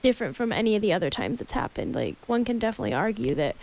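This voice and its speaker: A woman's voice: mid-range voice, very animated, very fast, vocal fry, darkish tone, rough recording. A young woman with a slight lisp chatting casually on a radio show, full of "like" fillers. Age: young adult.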